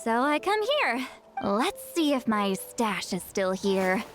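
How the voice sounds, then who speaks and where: Game casting: awkward long-lived shaman woman, high youthful voice, unhurried and hesitant. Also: expressive, a bit bright, faint music behind. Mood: reserved, matter-of-fact. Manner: stammering, hesitant, sighing. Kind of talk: video game voice acting.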